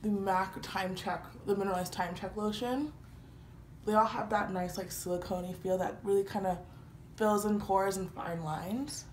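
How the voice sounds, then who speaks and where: A young woman talking casually through a makeup routine, slightly congested from a cold, chatty and relaxed with frequent fillers. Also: mid-range voice, moderate pace. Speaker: beauty vlogger. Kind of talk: casual makeup tutorial talk. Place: small indoor room, patterned wall with lights. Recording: roomy echo, faint background hiss.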